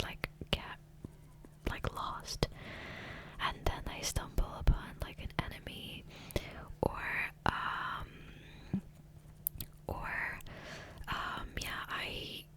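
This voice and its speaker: A woman whispering softly and breathily in a casual, rambling ASMR gameplay chat, close to the microphone. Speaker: ASMR video host. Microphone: close USB condenser microphone.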